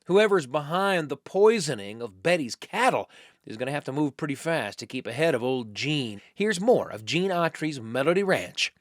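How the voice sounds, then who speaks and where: A man's voice: a little high, expressive, normal speed, no room sound. An American man hosting a radio show, presenting scripted links in a theatrical, folksy storytelling manner.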